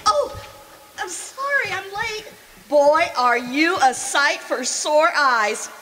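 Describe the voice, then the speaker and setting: A woman acting in a radio drama as a kindly, excitable former gold-rush saloon girl, with a folksy, gushing manner. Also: high-pitched, slow, heavy background noise, exaggerated voice. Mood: excited.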